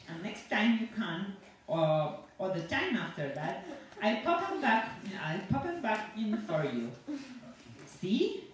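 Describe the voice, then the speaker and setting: A woman reading a story aloud as a chatty, self-satisfied, sinister dentist who rambles on with cheerful reassurance to a patient. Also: mid-range voice. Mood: breezy, macabre. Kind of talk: dramatic monologue read aloud to an audience. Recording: echoey room from far off, faint noise.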